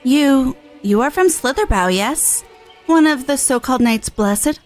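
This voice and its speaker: A woman's voice: high-pitched, expressive, faint music behind. Woman playing a proud, wary warrior woman. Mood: wary, challenging. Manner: formal, measured, questioning. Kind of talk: video game story dialogue read aloud.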